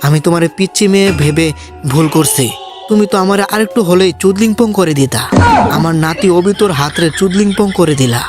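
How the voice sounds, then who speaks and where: Guy doing old anime villain, highish voice thru voice changer, boastful n teasing, music playing. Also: brisk. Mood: surprised, scornful. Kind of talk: comic amateur anime parody dub.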